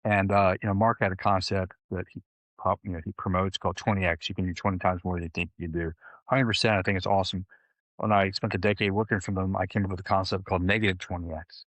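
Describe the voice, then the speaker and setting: A man's voice: low-pitched, level tone, quick pace, fairly bright tone, dry recording, rough recording. A man coaching clients, talking in a loose, rambling way with many fillers. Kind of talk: casual conversation.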